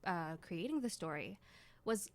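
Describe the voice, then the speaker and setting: A woman's voice: fairly low pitch, animated, measured pace, darkish tone. A woman talking casually as a guest in an interview. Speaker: guest, radio drama writer and producer.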